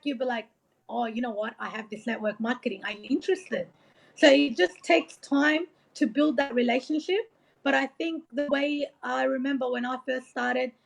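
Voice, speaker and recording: A woman from Iraq speaking as a motivational coach in an informal interview, earnest, rambling and encouraging, often saying like and you know. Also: sits high. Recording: dull, lo-fi audio.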